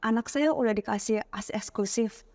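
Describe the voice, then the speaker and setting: A woman's voice: a little high, a little fast, darkish tone. A woman podcast host with an Indonesian accent, chattily asking a doctor questions and voicing parents' worries. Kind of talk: casual interview questions.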